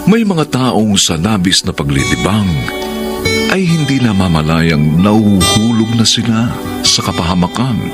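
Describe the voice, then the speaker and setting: A man's voice: fairly low pitch, very animated, unhurried. A man narrating a radio drama as its announcer, in a scripted, dramatic style. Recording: loud music under the voice. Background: guitar music.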